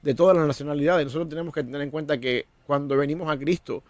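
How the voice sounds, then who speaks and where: A man's voice: a little high, steady tone, fast talker, no echo. A man from Cuba, a pastor, talking earnestly in a discussion with a preacher's cadence and frequent restarts. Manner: earnest, preacherly, repeats words when starting. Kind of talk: conversational discussion.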